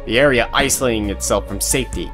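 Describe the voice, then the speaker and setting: A man's voice: mid-range voice, fast. A man reading scripted narration for an audio drama as the storyteller. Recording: music behind the voice.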